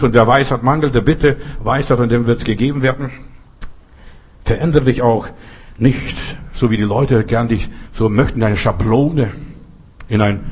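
Earnest pastor, not a native German speaker. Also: male voice, medium pitch, slight background noise. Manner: earnest, exhorting, repeats phrases for emphasis. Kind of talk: sermon with personal stories.

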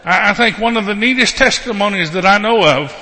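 A man's voice: high-pitched, lively intonation, fairly quick, sharp tone, in a large hall. A man with a Texan accent preaching at a revival meeting, telling a healing story and joking while he promotes his books and CDs. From Texas. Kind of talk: revival sermon with live interpreter.

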